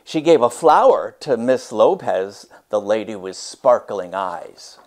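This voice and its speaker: Slow, animated low-voiced man teaching. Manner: deliberate, animated, emphatic phrasing. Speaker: teacher reading a picture book aloud. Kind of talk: instructional talk and expressive storybook reading.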